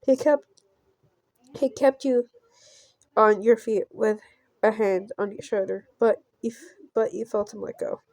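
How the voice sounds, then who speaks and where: A woman reading a visual novel aloud as she plays, with playful, halting delivery and casual asides. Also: mid-range voice, darkish tone, no room sound. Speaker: gameplay streamer.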